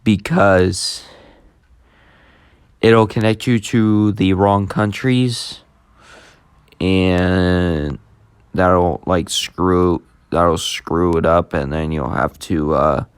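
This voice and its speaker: A man giving a casual, unscripted app tutorial, speaking plainly and haltingly as he hosts the demonstration. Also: sits low, clean tone, no room sound. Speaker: YouTube host demonstrating an app.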